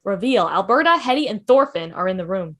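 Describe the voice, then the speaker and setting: A woman's voice: medium pitch, expressive, fairly quick, a bit bright. A woman reading stage directions expressively as scripted narration for a table read over a video call.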